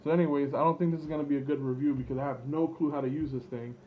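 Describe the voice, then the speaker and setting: A man's voice: a little high, fairly even intonation, rapid-fire. A man thinking aloud through an unscripted product demo, hesitant, rambling and unsure of himself. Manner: hesitant, rambling, self-doubting. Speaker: online seller demonstrating vintage electronics. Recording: dull lo-fi camera audio with background noise.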